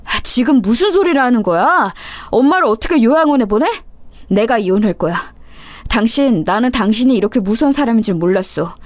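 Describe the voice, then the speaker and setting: A woman's voice: a little high, expressive, brisk, dry recording, close to an everyday voice. A woman voicing an outraged middle-aged eldest son who scolds harshly and furiously.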